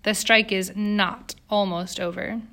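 A woman's voice: medium pitch, fairly even intonation, takes it slowly, bright, forceful tone. A woman voicing an earnest, determined young immigrant factory girl in an audiobook, with precise English. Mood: indignant, determined.

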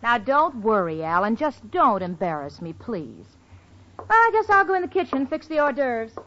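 An actress playing a sensible, wry roommate who narrates an old radio comedy with poised delivery. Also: female voice, on the high side, sing-song, normal speed, fairly bright tone. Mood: mildly anxious. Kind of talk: 1940s-50s radio comedy narration and acting. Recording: muffled old radio recording, faint noise.

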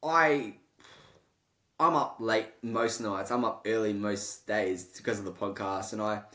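A man's voice: lowish voice, unhurried, pushed and bright. A young man hosting a podcast, chatting casually with lots of swearing and "bro". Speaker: podcast host. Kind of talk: casual chat.

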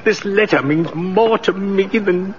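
A man's voice: high-pitched, unhurried. An actor playing a kindly, jolly old man in a 1940s radio drama. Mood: moved, grateful. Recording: dull, noisy old radio recording.

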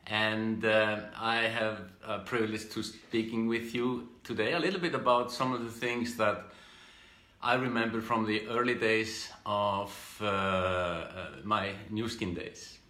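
A middle-aged Icelandic man with a fairly low, bright, forceful voice speaks informally in a roomy, echoing space. His English carries an Icelandic accent, and he moves haltingly through his recollections, often repeating a word before going on. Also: fairly even intonation. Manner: halting, with repeated words and restarts. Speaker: speaker giving a business training talk. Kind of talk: informal live talk, personal recollections.

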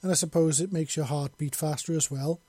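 An imperious bird-woman mistress from an audiobook tale, performed by a man. She speaks formally and precisely, curious yet used to giving orders. The voice is a little rough and mellow, recorded very dry. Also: fairly high pitch, fairly even intonation, a little fast, dry recording, voice pushed up, natural voice. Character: imperious, curious female bird-creature mistress, commanding and innocent.

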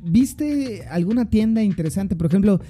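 A man's voice: high-pitched, animated, normal speed, mellow, slight background noise. A young man with a Mexico City accent hosting a podcast, joking around in loose, slangy casual chat, a bit tipsy. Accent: Mexico City Spanish. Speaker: podcast host.

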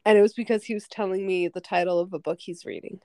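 A woman in her twenties, a podcast guest in casual chat, who speaks calmly and fills her speech with "like". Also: mid-range voice, fast, no room sound. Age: 20s.

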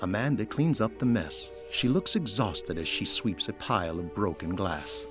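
A man's voice: lowish voice, expressive, moderate pace, music in the background. A man narrating an audio drama in a scripted, dramatic present-tense style.

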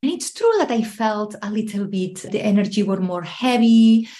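A woman's voice: mid-range voice, normal speed, warm tone. A woman from Spain, a podcast guest telling a personal story, laughing often as she talks. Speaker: guest, travel coach. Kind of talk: podcast interview.

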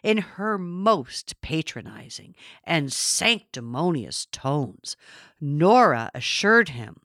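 A woman's voice: low-pitched, very animated, slow, a bit bright, no room sound. Woman reading literary fiction, dry close sound. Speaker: audiobook reader. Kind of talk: scripted literary narration.

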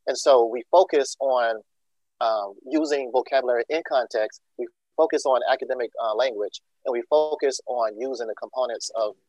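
A man's voice: mid-range voice, moderate pace, a little mellow, no echo, lo-fi sound. A man from Georgia, a school district leader answering interview questions with many ums and restarts. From Georgia, USA. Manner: many ums and uhs, restarts, earnest explaining.

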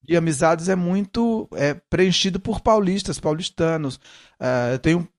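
A middle-aged man with a Northeastern Brazilian accent softened by São Paulo, chatting as an interview guest and repeating words as he searches for the next one. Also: on the high side, lively intonation, normal speed. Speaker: guest, musician. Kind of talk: casual interview conversation.

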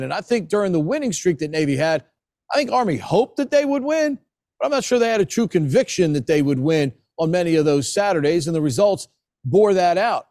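A man's voice: high-pitched, darkish tone, no echo, studio quality. An American man from Maryland, a sports broadcaster giving conversational analysis as an interview guest. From Maryland, United States.

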